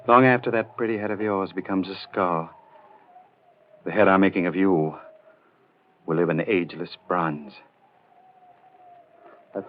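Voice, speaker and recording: Brief: mad, jealous sculptor, 1940s radio thriller. Deep, halting, intense delivery on a dull vintage recording. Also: male voice, a little hiss or hum. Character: brooding, jealous sculptor, quietly mad and menacing. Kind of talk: 1940s radio horror acting. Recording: muffled 1940s radio recording, music behind.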